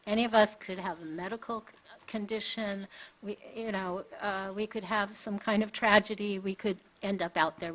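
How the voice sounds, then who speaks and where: A woman giving public comment at a county board meeting at a podium microphone, earnest and pleading, halting with many pauses. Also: medium pitch, pushed and bright, poor audio.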